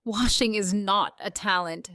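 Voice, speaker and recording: A woman's voice: mid-range voice, measured pace, pushed and bright, no room sound, clean studio sound, pitched above the performer's own voice. A woman voicing a bossy, theatrical female cat in a children's story, with grand, dramatic exclamations. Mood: exasperated.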